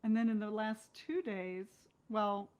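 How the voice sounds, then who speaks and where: A woman's voice: medium pitch, fairly even intonation, measured pace, clean tone, lo-fi sound. A woman chatting casually as an interview guest, candid and given to questioning herself. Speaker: guest actress.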